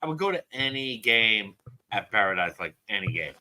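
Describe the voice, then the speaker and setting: An American man from Chicago co-hosting a football podcast stream over a video call, excitable, swearing and stumbling over his words. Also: fairly low pitch, normal speed, a loud crowd. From Chicago, United States. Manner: excitable, swears a lot, stammers and repeats himself. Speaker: podcast co-host. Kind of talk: casual livestream banter.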